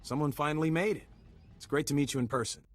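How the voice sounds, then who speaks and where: A man's voice: a little high, quick pace, lightly acted. A man playing an eager, anxious young informant in a video game, nervy and ingratiating. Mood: eager, nervous. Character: eager, anxious young male informant bluffing among rebels. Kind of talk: dramatic video game dialogue.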